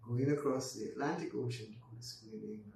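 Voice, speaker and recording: Man, 80s, Barbadian accent, reminiscing, unhurried with ums, distant mic, echoey room. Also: mid-range voice, vocal fry, a little mellow. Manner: unhurried, with frequent ums and restarts. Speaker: guest. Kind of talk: reminiscing storytelling in conversation. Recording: roomy echo, distant mic, faint noise.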